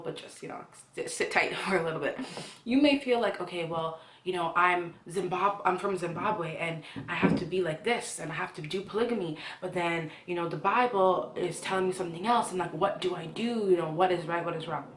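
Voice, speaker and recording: Low-voiced young woman in an echoey room. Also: brisk. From Ghana. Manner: earnest, conversational, poses questions to listeners. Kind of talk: casual devotional talk to camera.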